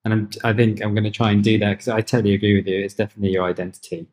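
A man's voice: fairly low pitch, little pitch movement, racing, a little mellow. A man hosting a podcast, chatting informally and asking questions in a casual, repetitive way. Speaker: podcast host. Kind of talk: casual interviewing.

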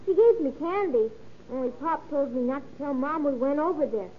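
Cheeky American little boy, high and lively, pestering, on a dull old radio recording. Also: fairly quick, a little hiss or hum. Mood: eager, cheeky. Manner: pestering, slangy childlike talk. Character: eager, cheeky little boy, innocent and nosy. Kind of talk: old-time radio drama acting.

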